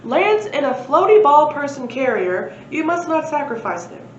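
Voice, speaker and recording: Woman putting on a loud, mysterious prophetic voice. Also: high voice, big pitch swings, moderate pace, voice pushed up. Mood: solemn. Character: mysterious disembodied prophetic voice from the air. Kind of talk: children's storybook read-aloud. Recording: roomy, muffled, faint background noise.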